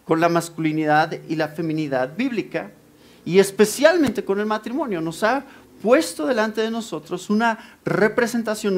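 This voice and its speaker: A man from Mexico with a Mexican Spanish accent, preaching a lively sermon and asking the congregation questions. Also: high-pitched. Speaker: pastor.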